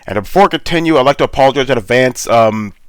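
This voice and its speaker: A man's voice: medium pitch, animated, fast talker, clean tone, dry recording. A congested man with a sore throat, rambling in a laid-back way as he hosts gameplay commentary over a headset mic. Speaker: gaming channel host.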